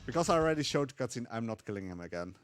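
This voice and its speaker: Casting: easygoing man commentating a game run, mid-pitched, faint music under him. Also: racing. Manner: relaxed, chuckling, explanatory. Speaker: speedrunner. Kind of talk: casual live gameplay commentary.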